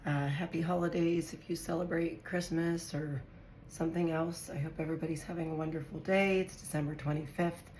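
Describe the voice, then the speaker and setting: A woman with a hoarse, raspy voice, lower than most, chatting as she lays out tarot cards for her viewers. She thinks aloud as she draws each card. The room sounds echoey, with a faint hiss behind her. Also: fairly even intonation, a little fast, a little mellow. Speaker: tarot reader. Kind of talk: casual tarot reading to viewers.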